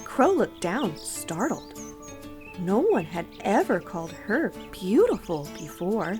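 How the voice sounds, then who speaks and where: A woman narrates a children's storybook at a slow pace and a medium pitch. Her read-aloud is expressive, with music and birdsong behind it.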